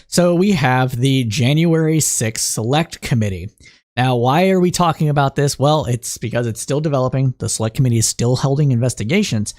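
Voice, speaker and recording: A man with a slightly raspy voice hosting a call-in political news podcast, explaining the week's news and reading reports aloud, with frequent fillers and restarts. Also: medium pitch, darkish tone. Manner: thinking aloud, many fillers and restarts, reads news excerpts aloud. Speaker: podcast host.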